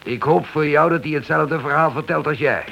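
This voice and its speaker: Stern police inspector, muffled recording. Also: male voice, a little high, moderate pace, creaky, bright, forceful tone. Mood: sceptical. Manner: curt, accusing, commanding. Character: stern, suspicious, sarcastic male police inspector. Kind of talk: radio play acting. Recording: dull, slightly hissy old radio drama recording.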